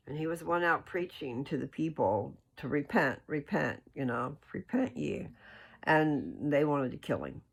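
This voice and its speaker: Gravelly, creaky voice, informal and folksy. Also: medium pitch. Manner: informal, folksy, checks in with "okay?". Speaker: host reading scripture aloud and explaining it. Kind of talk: scripture read aloud with casual, rambling commentary.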